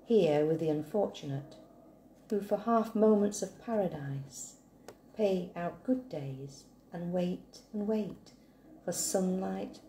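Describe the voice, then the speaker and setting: Female poetry reader, low and creaky, measured delivery, roomy echo. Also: mellow. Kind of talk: slow, measured poetry recitation. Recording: echoey room, faint background hiss.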